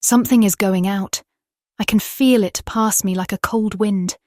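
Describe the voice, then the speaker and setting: A gentle young woman speaks as if entranced, in slow, dreamy phrases performed by an actress. It is gothic audiobook drama, recorded very dry with no room around the voice. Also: medium pitch, fairly even intonation, no echo, lightly acted. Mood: entranced, eerie. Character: gentle young married woman in hypnotic trance.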